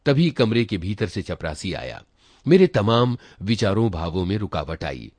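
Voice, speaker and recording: A man narrating a literary short story in an expressive reading style. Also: on the low side, moderate pace, dry recording. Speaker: story reader.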